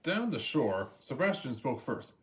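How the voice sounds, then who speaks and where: Male storyteller, very animated intonation, children's read-aloud narration. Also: mid-range voice, measured pace, slight background noise.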